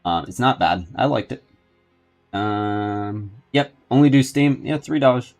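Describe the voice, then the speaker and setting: A man's voice: lowish voice, a little slow, darkish tone. A man doing casual livestream game commentary, rambling and thinking aloud as he goes. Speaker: video game streamer and host. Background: game music.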